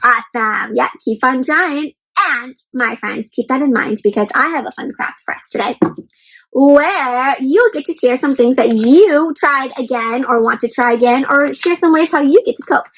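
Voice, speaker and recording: A woman's voice: high voice, moderate pace, fairly bright tone, low-quality recording. A woman hosting an interactive children's picture-book read-aloud, speaking expressively and encouragingly in simple phrases and putting questions to her young listeners.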